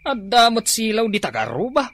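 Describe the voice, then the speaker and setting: An animated, merry Ilocano drinking buddy. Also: male voice, sits high, normal speed. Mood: surprised. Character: merry, egging-on drinking buddy of the rowdy neighbour. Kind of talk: Ilocano radio comedy drama acting.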